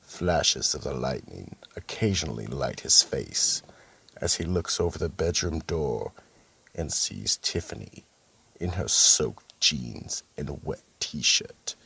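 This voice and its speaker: A man playing an ominous narrator of a radio mystery, with a very deep, gravelly, raspy voice and deliberate storytelling. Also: expressive, pushed and bright, full character voice. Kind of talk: scripted radio drama narration.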